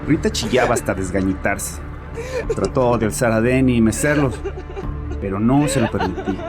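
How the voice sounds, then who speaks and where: Man acting a bitter, low-voiced troubled father. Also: moderate pace, natural voice. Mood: uneasy. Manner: agitated, defensive, confessional. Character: bitter, harsh, troubled father confessing to a therapist. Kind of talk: dramatized horror audio story monologue. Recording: voice over background music.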